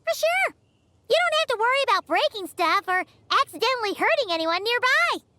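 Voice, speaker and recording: A woman voicing a tiny, chirpy, excitable floating fairy companion in a video game. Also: very high-pitched, a little fast, slight background noise, full character voice. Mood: excited. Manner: speaks of self in third person, exclamatory.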